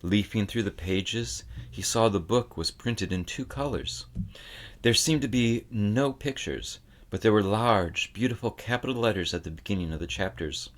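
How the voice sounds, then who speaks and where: A man reading a children's fantasy novel aloud as scripted narration, with dramatic, deliberate phrasing. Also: on the low side, expressive. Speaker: audiobook reader.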